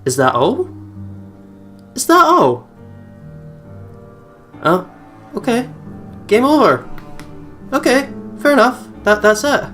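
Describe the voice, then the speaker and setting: An excitable let's play host with a mid-pitched voice. They read a horror visual novel aloud and slip into casual, jumpy commentary with some swearing. Game music plays behind the voice. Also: takes it slowly, pauses often.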